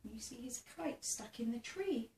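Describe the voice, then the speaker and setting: A woman reading a children's picture book aloud in a theatrical, expressive way, engaging young listeners. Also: fairly high pitch, measured pace. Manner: asks the listening child questions. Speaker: storybook reader. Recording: roomy sound with faint background noise.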